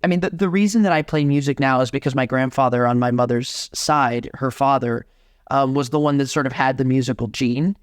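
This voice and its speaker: A young adult man from Miami, Florida, a guest organist chatting in an interview, animated and full of restarts. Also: mid-range voice, fairly quick, clean tone, no echo, clean studio sound. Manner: animated, talks with many restarts. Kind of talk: casual interview conversation.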